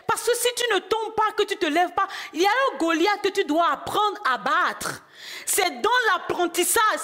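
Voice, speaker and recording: Brief: high-pitched woman from Benin, middle-aged preacher, testimony-style sermon, swings from quiet to shouting. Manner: swings from hushed to loud exclamations.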